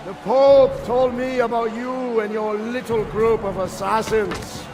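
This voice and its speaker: High-pitched, gloating villainous nobleman. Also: steady tone, measured pace. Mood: gloating, menacing. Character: menacing, gloating, theatrical villainous Italian nobleman conqueror. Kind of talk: dramatic video game acting. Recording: clear music behind.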